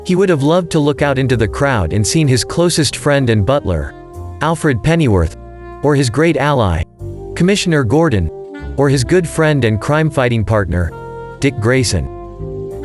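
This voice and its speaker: A man's voice: mid-range voice, big pitch swings, moderate pace, darkish tone, faint music behind. A man giving dramatic scripted narration for an audio drama.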